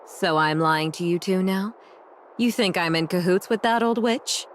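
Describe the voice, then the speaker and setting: A sardonic mentor doctor played by an actress talks in a slightly low female voice, dry and weary. It is curt anime game dialogue with faint noise underneath. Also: normal speed, close to an everyday voice. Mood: dry, impatient. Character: world-weary, sardonic woman doctor, commanding elder mentor.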